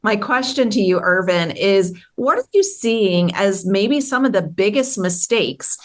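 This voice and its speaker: A woman's voice: medium pitch, expressive, measured pace. A woman hosting an interview podcast, speaking in an upbeat, enthusiastic and effusive way. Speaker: podcast host.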